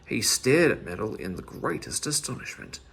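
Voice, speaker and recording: A man narrating a children's story aloud in an expressive storyteller's manner. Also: mid-range voice, moderate pace, creaky, breathy edge.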